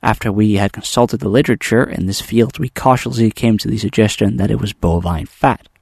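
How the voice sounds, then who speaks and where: A man with a fairly low voice reads through a series of news stories in a steady, scripted way, pausing now and then on a filler. The sound is dry and close, with no room around it. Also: vocal fry, slightly rough, no echo. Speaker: news presenter. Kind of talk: reading a news roundup.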